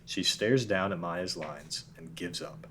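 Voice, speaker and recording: A man's voice: deep voice, unhurried, sharp tone, slight background noise. A man narrating a script at a table read, reading the stage directions plainly.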